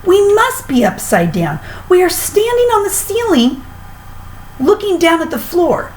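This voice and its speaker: A woman doing a squeaky yelping old husband. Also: huge pitch swings, a little slow, slight background noise, full character voice, poor audio. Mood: squealing alarm.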